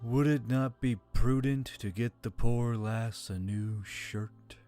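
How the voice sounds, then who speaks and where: A man's voice: mid-range voice, fairly even intonation, slow pace, dark tone, natural voice. A man voicing an ancient male spirit in a talking necklace, formal, archaic and wry, in an audio story. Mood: dry, courteous. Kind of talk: audio fiction character acting. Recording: background music under the voice.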